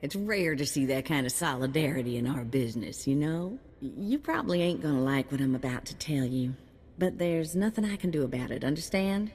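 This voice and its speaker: A woman's voice: deep voice, expressive, brisk, darkish tone, husky. A woman playing a folksy, familiar, straight-talking handler of bounty hunters in a video game. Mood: frank. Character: folksy, chummy female bounty hunt handler. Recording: long reverb.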